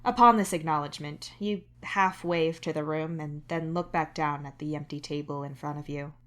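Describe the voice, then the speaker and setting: A woman reading scripted fiction as narrator, in an introspective, expressive way. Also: low-pitched, normal speed. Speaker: narrator and author reading own fan fiction.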